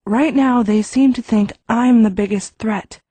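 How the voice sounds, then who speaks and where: A woman's voice: on the high side, unhurried, mellow, slightly rough, no echo. A woman playing an injured, stubborn teenage girl mage in an audiobook, blunt and no-nonsense. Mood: stern, urgent. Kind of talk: full-cast audiobook acting.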